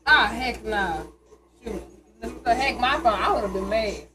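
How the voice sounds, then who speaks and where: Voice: mid-range voice, unhurried. A young adult, chatting casually and calling out directions during a video game. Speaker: companion watching the gameplay. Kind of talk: casual chat, backseat directions. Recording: noisy room, ambient sound behind.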